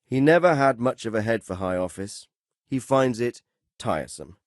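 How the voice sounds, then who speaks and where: A man playing a measured, fatherly but stern middle-aged medieval lord in a video game, speaking formally and with authority. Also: mid-range voice, animated, no echo, clean studio sound, close to an everyday voice. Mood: measured, explaining. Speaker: lord giving orders and counsel. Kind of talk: dramatic video game dialogue.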